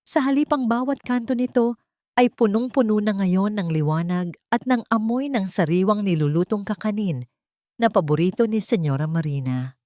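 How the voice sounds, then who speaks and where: A woman's voice: mid-range voice, lively intonation, moderate pace, mellow, dry recording, clean studio sound. A woman reading a scripted, dramatic audio story as its storyteller.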